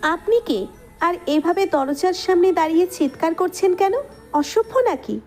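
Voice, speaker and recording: A woman playing a haughty, sharp-tongued young woman who is quick to scold in an audio story. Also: extremely high pitch. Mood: indignant, scolding. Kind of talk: scripted audio story acting. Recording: music under the voice.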